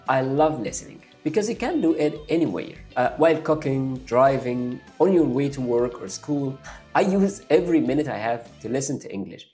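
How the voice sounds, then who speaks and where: A man's voice: mid-range voice, dark tone. A young man whose first language is Arabic, giving an upbeat step-by-step English lesson straight to the viewer. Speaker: English learning YouTuber. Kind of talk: direct-to-camera lesson.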